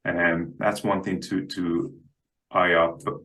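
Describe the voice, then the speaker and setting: A man speaking as a guest analyst in an unscripted interview, halting and often repeating the starts of words. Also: low-pitched, little pitch movement, bright, forceful tone. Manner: halting, stammers on word starts.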